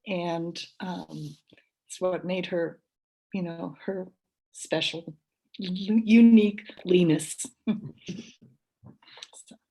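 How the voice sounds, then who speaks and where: A woman panelist making reflective, unscripted remarks, halting as she searches for words. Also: on the low side, fairly even intonation, mellow, rough recording. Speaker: writer, panelist.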